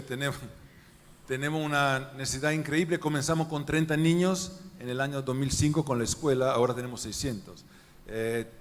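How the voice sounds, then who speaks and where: A man speaking as a missionary guest in a church interview, earnest and emphatic, often letting his sentences drop off quietly. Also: medium pitch. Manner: earnest, emphatic lists, quiet falling endings. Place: large church hall. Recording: long church hall reverb.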